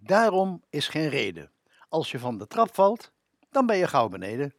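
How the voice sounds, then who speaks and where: A man's voice: fairly high pitch, huge pitch swings, normal speed, vocal fry, no echo, studio quality. A man in his seventies recounting memories on a local radio show. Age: 70s. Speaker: radio presenter.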